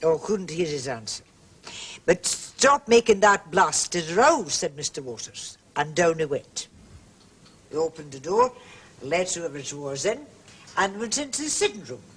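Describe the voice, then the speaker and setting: Prim, defensive landlady for period mystery drama: husky voice, emphatic, self-justifying delivery. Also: lowish voice, big pitch swings, unhurried, full character voice. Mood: confiding. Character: indignant, prim, gossipy landlady, defensive about prying.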